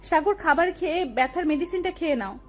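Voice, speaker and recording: A woman's voice: extremely high pitch, expressive, fairly quick. A woman playing a doting, bossy, emotional young woman in love in an audio romance. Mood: caring. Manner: fussing, commanding, emotional. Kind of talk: audio love story dialogue.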